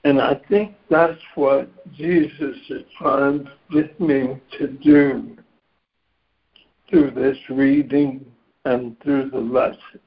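A man losing his voice gives a reflective spiritual share on a group call, measured and clearing his throat now and then. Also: medium pitch, fairly even intonation. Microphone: phone on a conference call. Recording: dull lo-fi conference call line.

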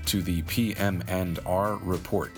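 A man's voice: low-pitched, steady tone, unhurried. A man reading a formal scripted welcome that opens a podcast. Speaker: podcast intro announcer. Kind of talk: scripted announcement. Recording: music bed behind the voice.

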